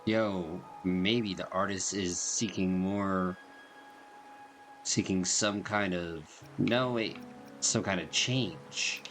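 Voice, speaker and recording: A man's voice: deep voice, takes it slowly, fairly bright tone. A man hosting a casual game playthrough, reading dialogue aloud and commenting informally. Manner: informal, slangy. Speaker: gaming video host. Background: game music.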